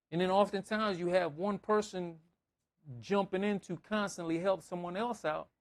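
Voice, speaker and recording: A man's voice: fairly high pitch, darkish tone. A man preaching in a conversational style, with emphatic repetition and acted-out examples. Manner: emphatic, repeating phrases, acting out dialogue. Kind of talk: conversational sermon with scripture reading and anecdotes.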